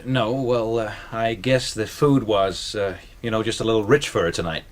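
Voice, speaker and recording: A man's voice: on the low side, fairly even intonation, normal speed, close to an everyday voice. Man playing a humble American stage magician. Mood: sheepish, frank. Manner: hesitant, polite, deferential. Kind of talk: radio drama acting.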